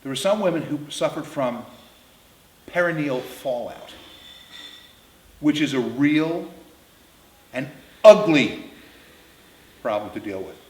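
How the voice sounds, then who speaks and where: Guy in his 50s telling crude funny stories, blunt, really animated, echoey room. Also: fairly high pitch, slow, pauses often, a little hiss or hum. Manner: blunt, crude, emphatic, swearing. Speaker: storyteller. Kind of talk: comedic anecdote storytelling. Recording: roomy, echoing indoor sound.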